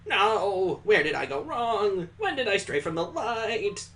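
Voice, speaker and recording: A man's voice: high voice, sing-song, slow pace, a bit bright, a little hiss or hum, voice pushed up, exaggerated voice. A man voicing a rowdy, mouthy child in a visual novel, whiny and exaggerated. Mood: dramatic dismay.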